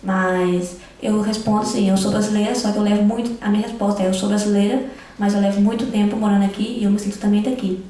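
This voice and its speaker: A teenage girl from Brazil, speaking on a panel in an animated way and acting out other people's remarks. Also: mid-range voice, fast talker. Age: teens. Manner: animated, acts out quoted remarks. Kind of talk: casual panel talk. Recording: echoey hall.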